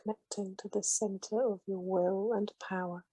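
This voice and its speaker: A woman leading a guided meditation with slow, soothing, gentle instructions. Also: medium pitch, steady tone, no echo, low-quality recording.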